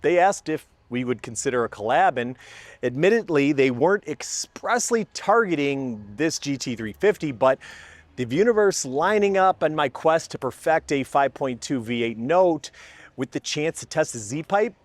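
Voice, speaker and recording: A man's voice: a little high, lively intonation, normal speed, no room sound. A man hosting a car testing video, explaining things in an informal, enthusiastic way with emphatic phrasing. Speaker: host of a car exhaust testing channel. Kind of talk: scripted narration and casual explainer to camera.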